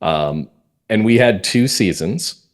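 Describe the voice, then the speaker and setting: Man, low pitch, casual interview guest, enthusiastic, anecdotal. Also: expressive, slow. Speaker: podcast guest, audio drama writer and producer.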